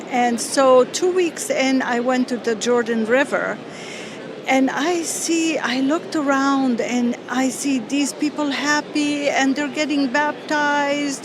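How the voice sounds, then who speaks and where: A woman from Lebanon tells her story slowly and earnestly, pausing often and searching for words. Her voice sits a little high for a woman. Traffic and street sounds are clearly heard behind her outdoors. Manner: earnest, halting storytelling with many fillers. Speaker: interview guest. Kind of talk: personal testimony.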